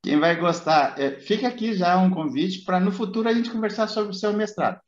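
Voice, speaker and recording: Male podcast host, chatty and wandering, high voice, echoey lo-fi room. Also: brisk. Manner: chatty, meandering. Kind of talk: casual interview chat. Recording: roomy, dull lo-fi sound.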